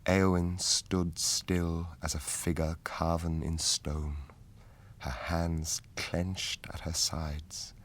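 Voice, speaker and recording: A man with a husky voice, narrating an epic fantasy radio drama in a solemn, measured way. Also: extremely low pitch, exaggerated voice.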